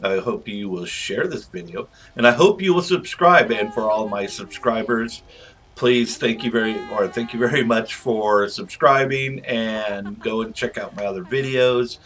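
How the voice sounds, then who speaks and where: A man's voice: on the low side, a little slow, fairly bright tone. A man doing casual, chatty game commentary as a channel host, rambling informally through asides.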